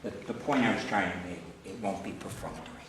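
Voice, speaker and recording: Lawyer guy testifying, laid back, low voice, distant camcorder audio with some hiss. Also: quick pace. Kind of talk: hearing testimony, conversational. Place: large echoey hearing room. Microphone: recorded from far by a camcorder. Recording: echoey room, distant camcorder mic, some noise.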